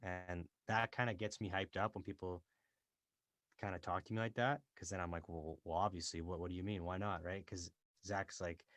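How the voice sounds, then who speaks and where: A young man with a very deep, low voice talks in an easygoing, chatty way, full of fillers and little quoted asides. The sound is close and dry, with almost no room echo. He is recording from a small closet he uses as a home studio. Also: normal speed. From born in Dubai, raised in Canada. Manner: relaxed, chatty, many fillers and self-quoting. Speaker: guest, entrepreneur and rapper. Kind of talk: casual interview chat.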